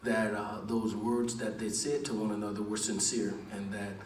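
A middle-aged man, a head coach, answering questions at a postgame press conference in a measured, earnest way, pausing with many "uh"s and repeating words. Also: on the low side, little pitch movement, a little hiss or hum. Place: large press room with backdrop. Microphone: table mic, recorded from across the room. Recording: echoey room, from a distance.

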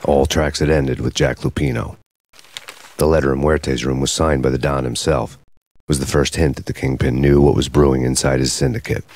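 Very deep-voiced hardboiled cop. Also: male voice, moderate pace. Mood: brooding, deadpan. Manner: deadpan, brooding. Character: weary, hardboiled male cop narrating his manhunt. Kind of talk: noir scripted narration.

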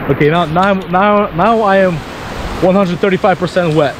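A man in his thirties vlogging outdoors on a beach and in the sea, excitable and laughing, talking to viewers through an action camera held at arm's length. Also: sits high, normal speed. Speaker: travel vlogger. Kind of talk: casual travel vlog. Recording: loud wind noise on camera mic. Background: wind and waves.

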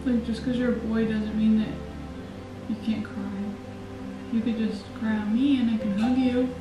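A woman's voice: a little high, little pitch movement, normal speed. A young woman talking in a concerned, coaxing way to someone at home, picked up by a distant camera. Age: young adult. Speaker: co-host, fiancée. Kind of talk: casual conversation. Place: house interior. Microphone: far camera. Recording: echoey room from far off with music.

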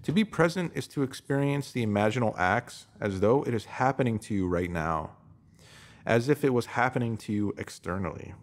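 A man narrating a scripted self-help text in an instructive, measured way, posing emphatic rhetorical questions. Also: medium pitch, lively intonation. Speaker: narrator reading a written post.

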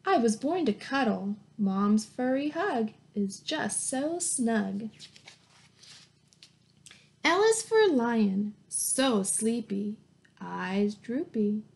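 A woman's voice: fairly high pitch, expressive, extremely slow, creaky, darkish tone. A woman in her thirties reading a picture book aloud to young children as a teacher, in an encouraging, sing-song way. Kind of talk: children's picture-book read-aloud with simple questions and praise. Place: small plain indoor room at home. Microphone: webcam or laptop mic at arm's length.

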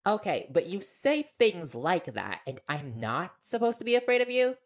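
A man's voice: sits high, wildly swooping pitch, moderate pace, a little hiss or hum, heavily acted. A man playing an easygoing, teasing young reporter boyfriend in an audio roleplay, talking casually and with playful stubbornness. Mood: casual, wary.

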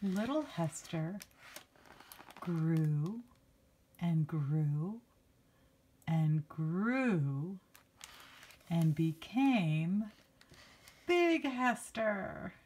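A woman's voice: fairly low pitch, lively intonation, very slow, pauses often, a little mellow, breathy edge. A woman reading a picture book aloud to children like a teacher, expressively stretching key words and adding casual asides. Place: indoors, book held before a handheld camera.

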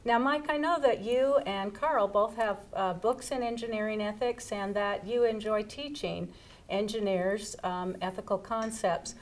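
A woman's voice: mid-range voice, measured pace. A middle-aged woman hosting a studio panel discussion, welcoming guests and putting questions to them. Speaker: host, moderator. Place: television studio set. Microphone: lapel mic.

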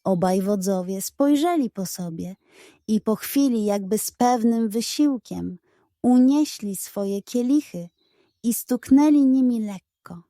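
A woman's voice: fairly high pitch, expressive, takes it slowly, mellow, dry recording, studio quality. A woman narrating a scripted bedtime story for children, as a storyteller. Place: close, dry recording.

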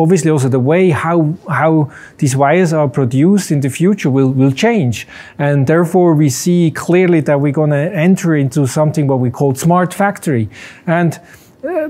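Executive man in his forties, somewhat high voice, halting with many restarts, demoing machines in a showroom. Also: darkish tone. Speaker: company CEO. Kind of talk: unscripted corporate interview and demo. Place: large company showroom with machines.

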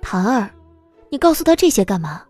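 A woman's voice: a little high, big pitch swings, moderate pace, mellow, no echo, soft background music. A woman voicing a mature, elegant young lady in an audiobook drama, cool, curt and sarcastic. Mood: annoyed.

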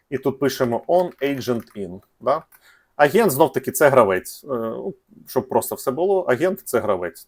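A man's voice: medium pitch, darkish tone. A man hosting a casual coding tutorial, speaking in slangy, informal language and thinking aloud with hesitations. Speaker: programming tutorial host.

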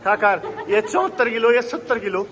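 A man's voice: sits high, normal speed. A man films his family in a big indoor gym, narrating with a teasing tone and calling out instructions. Chatter from the crowd around him runs behind his voice. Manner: teasing, coaching others on gym machines. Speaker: vlogger filming his family. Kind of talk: casual family vlog narration. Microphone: handheld phone camera.

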